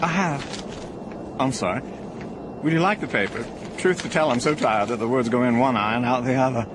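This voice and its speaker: Clear vehicle noise surrounds a tired male airline passenger played by a man in an audio drama. He is polite and weary, with a mid-pitched voice. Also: moderate pace, lightly acted. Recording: noisy vehicle cabin ambience. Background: airplane cabin noise.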